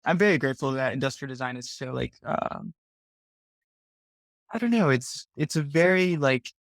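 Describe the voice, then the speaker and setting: Casting: young designer guy, mid-pitch, rambling chatty delivery, dry close sound. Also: lively intonation, moderate pace, no room sound. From Colombia. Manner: rambling, heavy use of "like". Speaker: guest, industrial designer. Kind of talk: casual video chat.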